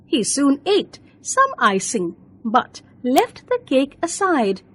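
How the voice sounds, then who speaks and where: A woman reads a children's bedtime story in a high, lively voice. She takes her time over each phrase, slowly and deliberately, with expressive swings in pitch.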